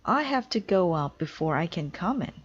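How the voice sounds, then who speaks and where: A woman voicing an eager, innocent, literal-minded woman from a children's storybook, in a bright, exclamatory way. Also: lowish voice, very animated, moderate pace, husky, lo-fi sound.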